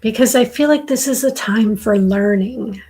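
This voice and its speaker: A middle-aged woman with a raspy, dry-throated voice, slowly and softly giving a live tarot reading as host. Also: on the high side. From southern New Hampshire. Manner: slow, soft, soothing intuitive reading.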